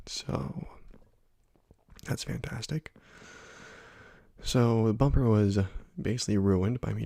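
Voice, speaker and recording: A young man hosting a gaming ASMR video, talking softly and slowly, often dropping to a whisper, with frequent ums. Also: medium pitch, creaky, husky, no room sound, studio quality. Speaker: gaming ASMR channel host. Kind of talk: soft-spoken ASMR gameplay commentary.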